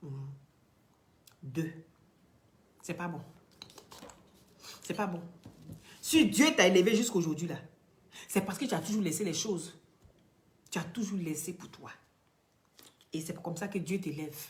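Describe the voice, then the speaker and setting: A woman from Ivory Coast with an Ivorian French accent, giving impassioned commentary straight to the camera, preachy and emphatic, swinging from loud peaks to quiet, near-whispered asides. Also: lowish voice, lively intonation, measured pace, pauses often. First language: Dioula or another Ivorian language. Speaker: social media commentator.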